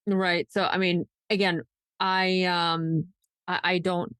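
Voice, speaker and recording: A woman with a fairly low voice talks as a podcast co-host, in a dry, close recording. Her delivery is conversational and emphatic, speculating out loud and leaning on "like" as she goes. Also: little pitch movement, slow, clean tone, no echo. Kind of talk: casual true crime discussion.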